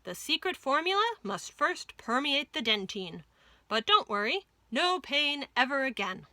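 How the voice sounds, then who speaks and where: Lady reading as proud mouse dentist guy from a kids book, firm n formal, bright voice. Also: sits high, very animated, a little slow, no room sound, pitched above the performer's own voice, full character voice. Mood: brisk, formal. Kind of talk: children's storybook reading.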